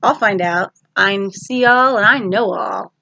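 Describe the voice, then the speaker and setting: A woman's voice: mid-range voice, a little slow, creaky, sharp tone, dry recording, dull audio, full character voice. Woman voicing a breezy, cocky teenage sun god. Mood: playful, boastful.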